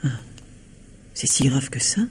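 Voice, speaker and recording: An actress playing a concerned, insistent wife in a French radio mystery, with a probing, intimate way of talking. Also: female voice, unhurried, a little mellow, long reverb tail, slight background noise, close to an everyday voice.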